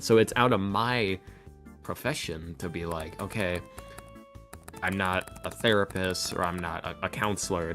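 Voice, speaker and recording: A man with a very low voice talks casually as an interview guest. He sounds reflective and hesitant, rambling with self-deprecating candor, and faint music plays behind him. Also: fairly bright tone. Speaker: podcast guest, ASMR roleplay audio creator.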